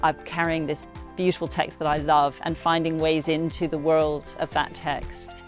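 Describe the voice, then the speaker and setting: Woman translator, interview, low voice, keen, light music bed. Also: little pitch movement, moderate pace. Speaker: translator leading workshops.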